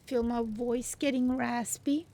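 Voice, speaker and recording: A woman's voice: a little high, fairly even intonation, measured pace, mellow. A woman in casual voice chat during an online group flight.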